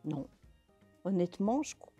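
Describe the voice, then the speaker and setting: A woman in her sixties answering interview questions, slowly and hesitantly, with many fillers and restarts, seated in a large bare indoor hall. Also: fairly low pitch, sing-song, dark tone, breathy edge, lo-fi sound, faint music behind. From France, Pays de Gex. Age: 60s. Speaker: interviewee, literary event organizer.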